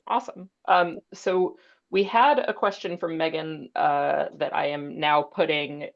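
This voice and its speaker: A woman's voice: low voice, steady tone, a little slow, vocal fry. A woman moderating a librarians' panel in an informal, somewhat hesitant way, with a dull, muffled call sound. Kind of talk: conversational moderating, reading out audience questions.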